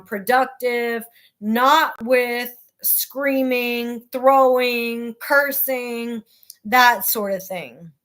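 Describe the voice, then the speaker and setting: A mother who hosts her own show, speaking earnestly in a naturally loud voice, with frequent pauses and fillers as she talks about her life and book. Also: fairly high pitch. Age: adult with children. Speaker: host.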